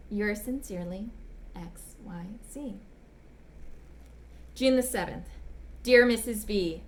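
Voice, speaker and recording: Smitten gentleman letter writer played by a woman, old-fashioned, courteous and gushing, fairly high voice, faint noise behind. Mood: charmed, apologetic. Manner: polite, apologetic, ardent, old-fashioned phrasing. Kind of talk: dramatic reading of love letters.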